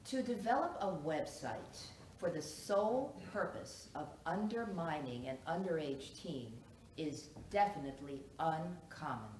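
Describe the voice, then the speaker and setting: A formal female psychiatrist played by a woman testifies in a courtroom stage drama with a low voice and clinical, deliberate phrasing. She is heard from a distance in an echoing, noisy room. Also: animated, darkish tone. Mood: measured, deliberate. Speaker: actor in a one-act play.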